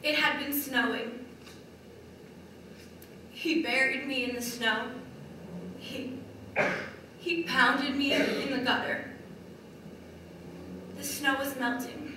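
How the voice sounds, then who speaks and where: Woman performing battered wife, stage monologue, slow halting phrases, bright tone, wounded, far-off echoey recording. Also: high voice, fairly even intonation, full character voice. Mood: subdued, haunted. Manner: deliberate, halting delivery with short broken phrases. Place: large echoey room, distant microphone. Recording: very echoey hall from far off, faint noise.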